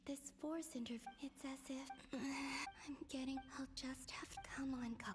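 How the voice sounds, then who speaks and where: A woman voicing a soft-spoken, puzzled young woman in a fantasy video game, often musing to herself. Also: high voice, steady tone, a little slow. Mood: puzzled, reflective. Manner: musing aloud to herself in hushed, breathy wondering. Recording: game music behind the voice.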